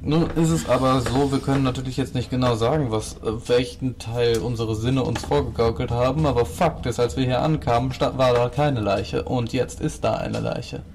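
Guy at a pen and paper game, gravelly mid-pitched voice, noisy recording. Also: moderate pace, few pauses. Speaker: tabletop game player. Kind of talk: casual in-character talk at a tabletop game.

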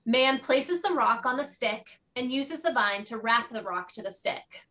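A woman's voice: fairly high pitch, animated, measured pace, pushed and bright. A woman narrating the stage directions of a play from a script.

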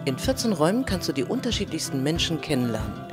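Lively woman narrating a trailer. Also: deep voice, quick pace. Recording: voice-over with clear music behind.

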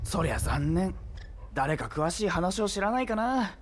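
A man's voice: high-pitched, sing-song, a little slow, a little hiss or hum. An actor playing a nosy, talkative villager in a video game, casual in his speech. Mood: curious. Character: chatty, nosy male villager passing on rumours. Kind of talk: Japanese video game voice acting.

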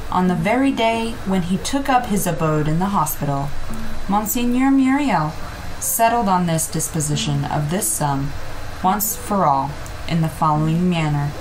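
A woman reading a literary audiobook in a measured, phrase-by-phrase delivery. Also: lowish voice, rough recording. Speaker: audiobook reader. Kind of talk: scripted literary narration. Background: background music.

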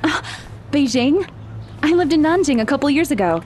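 A woman's voice: sits high, animated, moderate pace, a little mellow, a little hiss or hum. A woman playing an anxious, curious teenage girl in an audio drama, conversational and questioning. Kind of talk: naturalistic audio drama acting.

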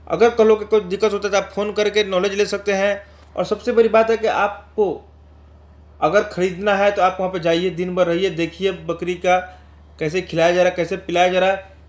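A man giving an informal promotional voice-over as a channel host, explaining things repetitively and addressing viewers directly. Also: high voice.

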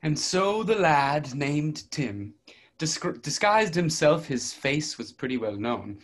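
A pompous royal storyteller , played by a man, declaims comic verse. His voice is notably high for a man. The delivery is that of a staged play reading. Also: big pitch swings, slow. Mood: storytelling. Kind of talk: readers' theatre verse narration. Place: indoors at home on a video call.

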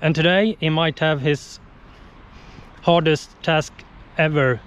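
A man's voice: fairly high pitch, steady tone, fairly bright tone, clear, resonant tone. A man in his thirties with a Swedish accent, hosting a casual outdoor vlog and talking to a camera held at arm's length. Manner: slow, cheerful, plain non-native English. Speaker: vlogger host. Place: outdoors by a lake. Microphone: action camera held at arm's length. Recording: dull outdoor camera audio.

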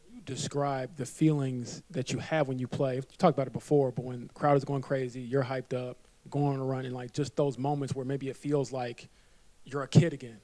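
Male reporter, mellow, flat, meandering press question. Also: medium pitch, a little fast, no echo, rough recording.

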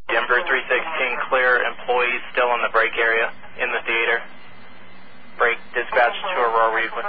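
Flat-toned male dispatcher on radio. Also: mid-range voice, moderate pace. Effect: police radio. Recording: tinny, noisy police scanner radio audio.

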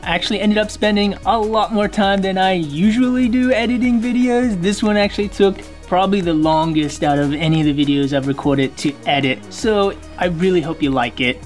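A woman's voice: mid-range voice, brisk, hardly any pauses, darkish tone, faint music behind. A woman gaming host talking casually to viewers in a chatty, unpushy way. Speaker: host of a gaming roleplay channel. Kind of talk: casual vlog outro.

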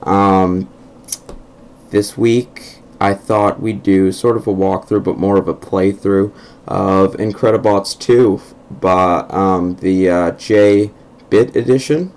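A young man with a croaky voice narrating a casual game walkthrough over his screen recording, hesitant and full of ums. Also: low-pitched, fairly even intonation, slow, a little mellow. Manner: hesitant, many ums and restarts. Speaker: gameplay video host. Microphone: computer microphone over screen recording.

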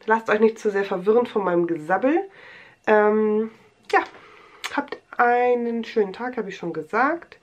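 A woman's voice: mid-range voice, a little slow, dull audio. A young woman with a cold, rambling chattily through a show-and-tell vlog in a small bedroom, full of fillers and restarts. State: has a cold. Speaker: vlogger.

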